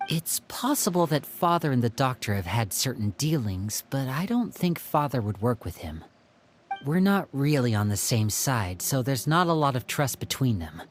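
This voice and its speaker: Man playing a composed, expressive young leader. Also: mid-range voice, slight background noise, lightly acted. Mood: calm, earnest. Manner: measured, diplomatic, articulate. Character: composed, persuasive young male team leader. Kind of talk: video game voice acting.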